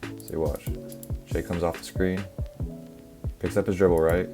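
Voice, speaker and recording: A young man, a little hoarse from a cough, casually breaking down basketball plays with lots of fillers. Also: low-pitched, a little slow. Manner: relaxed, filler-heavy, explaining step by step. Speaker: basketball analysis creator. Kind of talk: casual play-by-play film breakdown. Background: background music.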